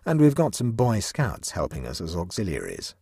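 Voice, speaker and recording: A man voicing a calm, nonchalant young male prankster who talks in a dry, matter-of-fact way. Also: low voice, moderate pace, gravelly, husky, no room sound, exaggerated voice. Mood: cool, nonchalant.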